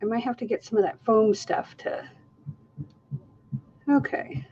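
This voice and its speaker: A woman's voice: a little high, steady tone, measured pace, darkish tone, husky, muffled recording. A woman hosting a live fluid art stream, chatting casually and joking at her own expense. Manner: chatty, self-mocking, laughs often. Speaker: fluid art livestream host. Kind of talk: casual live demo chat. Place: art studio, camera overhead on the table.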